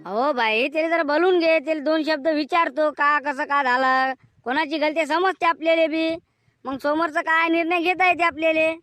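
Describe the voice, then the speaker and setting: A man voicing an exasperated village peacemaker in a cartoon comedy, admonishing in a rural Vidarbha Marathi accent with a pitched-up voice. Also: fairly even intonation, normal speed, sharp tone, no echo. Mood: admonishing, measured. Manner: admonishing, mediating.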